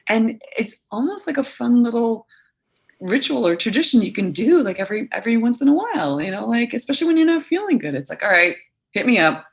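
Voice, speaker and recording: A woman's voice: a little high, sing-song, brisk. A woman in her thirties, a guest coach talking earnestly and animatedly in a casual podcast conversation, full of fillers.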